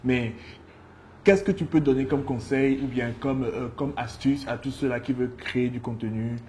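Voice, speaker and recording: Relaxed male host, mellow, some background noise. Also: mid-range voice, normal speed. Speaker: interviewer, host. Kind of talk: casual conversational interviewing.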